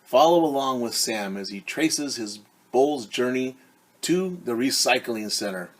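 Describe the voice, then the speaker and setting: Slow-talking husky man teaching story time. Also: medium pitch, expressive. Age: middle-aged. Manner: repeats and stretches words for young listeners. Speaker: teacher reading a picture book aloud. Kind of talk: children's story time with simple explanations. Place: small room at home, indoors.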